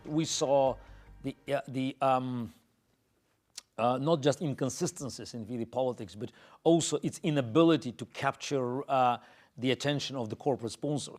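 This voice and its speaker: A middle-aged man from Azerbaijan, answering interview questions in a halting way, full of fillers and restarts. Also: medium pitch, a little mellow, faint music behind. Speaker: interview guest.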